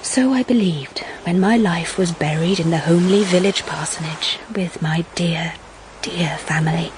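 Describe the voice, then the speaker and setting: A woman's voice: fairly low pitch, natural voice. Earnest young Englishwoman played by an actress, noisy recording. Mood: wistful, reflective. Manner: reflective, literary, measured. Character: Agnes Grey, earnest, wistful, hopeful young woman narrator. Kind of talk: period audio drama narration and dialogue.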